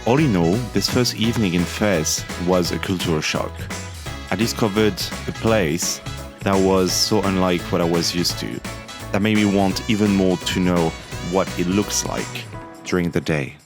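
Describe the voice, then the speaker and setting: Chatty low-voiced man, soft music behind. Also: normal speed. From Morocco. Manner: relaxed, chatty, many fillers like "like" and "uh". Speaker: travel vlogger. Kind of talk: casual travel vlog narration.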